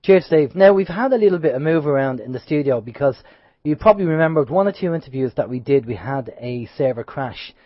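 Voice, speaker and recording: A man's voice: a little high, fairly quick, no echo. A man co-hosting an internet radio show with a sore throat and a slightly nasal voice, chatting casually and rambling a little. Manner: chatty, rambling, lively openings then trailing off. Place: radio studio. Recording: dull lo-fi sound, dry studio.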